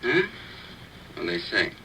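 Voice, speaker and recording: Deep, sharp-edged, wary crook. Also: male voice, very animated, takes it slowly, exaggerated voice. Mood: guarded, grateful. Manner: terse, slangy, guarded. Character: sullen, wary wounded male crook in hospital bed. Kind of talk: 1940s-50s radio drama acting. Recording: distant, noisy vintage radio recording.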